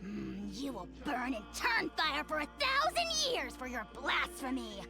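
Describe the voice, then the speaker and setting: A woman's voice: sits high, wildly swooping pitch, a little slow, over music, heavily acted. A woman voicing a haughty tiny dragon lord in a video game, speaking grandiosely with theatrical insults and a royal we. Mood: indignant.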